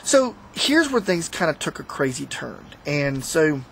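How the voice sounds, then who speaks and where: A man giving a casual, unscripted product review to viewers in a halting, rambling, conversational way. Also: on the high side, big pitch swings, vocal fry, fairly bright tone, slight background noise. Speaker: product reviewer, host of a motorsport video channel.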